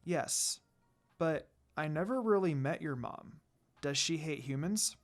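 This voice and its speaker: A man voicing a kind, gentle young woman in love in a romance story, speaking softly. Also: fairly high pitch, animated, takes it slowly. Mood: warm, teasing.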